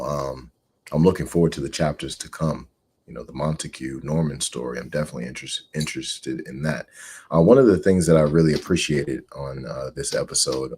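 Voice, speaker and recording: A man in his forties from the United States, hosting a show where he reads a book aloud and comments on it with measured, earnest delivery. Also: a bass voice, darkish tone, slight background noise. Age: 40s. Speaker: host.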